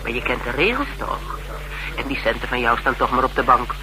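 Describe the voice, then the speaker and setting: An actor playing a shrewd, money-minded private investigator in a Dutch radio drama, asking short probing questions with sardonic, teasing banter. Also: male voice, medium pitch, lively intonation, fairly quick, close to an everyday voice. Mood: sardonic, haggling. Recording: noisy radio drama recording.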